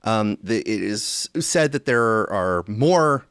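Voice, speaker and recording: A man hosting a podcast, talking casually and thinking aloud in a halting, rambling way, often rephrasing mid-sentence. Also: lowish voice, animated, fairly bright tone, clean tone, dry recording, studio quality. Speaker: podcast host.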